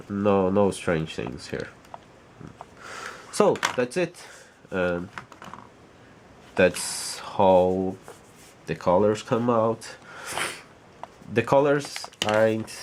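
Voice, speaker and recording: Slow, halting low-voiced man. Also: noisy background. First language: Portuguese. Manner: hesitant, halting, repeats words while searching for English. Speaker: vlogger. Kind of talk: casual rambling vlog.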